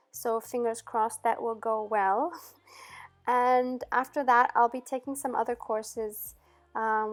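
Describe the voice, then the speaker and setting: Austrian-raised woman chatting, slightly high voice. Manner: chatty, frequent "um" and soft laughs. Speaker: vlogger answering viewer questions. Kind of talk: casual Q&A chat.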